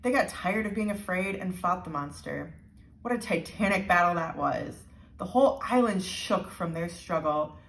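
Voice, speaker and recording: A woman voicing a grave old island handyman telling a storybook tale in a measured way. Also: lowish voice, animated, gravelly, a bit bright, recorded from a distance. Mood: stirring. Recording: roomy, echoing indoor sound.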